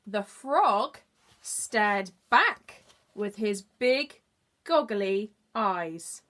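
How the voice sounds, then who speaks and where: Animated, slow-paced woman reading a storybook. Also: medium pitch. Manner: expressive, explaining for young children. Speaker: early years teacher reading aloud. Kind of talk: children's storybook narration with asides to listeners.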